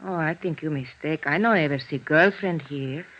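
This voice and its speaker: An actress playing a kindly immigrant landlady in a vintage radio drama, speaking halting broken English with an Eastern European accent. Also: low voice, slight background noise, lightly acted. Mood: matter-of-fact. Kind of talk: 1950s radio drama acting. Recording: muffled vintage radio recording.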